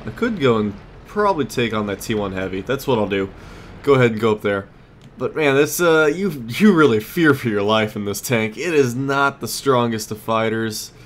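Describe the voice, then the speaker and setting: A man's voice: mid-range voice, animated, moderate pace. A man giving casual gameplay commentary as a let's play host, muttering and exclaiming as he plays. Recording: clear background noise, game ambience.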